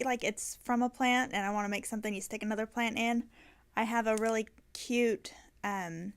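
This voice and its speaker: A woman hosting a knitting podcast, chatting casually and rambling, correcting herself often with many ums. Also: a little high. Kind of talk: casual chat.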